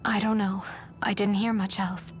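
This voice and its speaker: An actress playing an earnest, wary village woman who speaks politely in a hushed way in a video game drama. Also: mid-range voice, flat delivery, normal speed, slight background noise, lightly acted. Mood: anxious.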